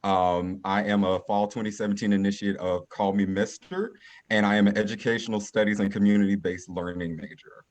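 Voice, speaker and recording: A man's voice: on the low side, flat delivery, a little fast. A young man from South Carolina, a college student introducing himself on a panel. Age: young adult. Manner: formal self-introduction.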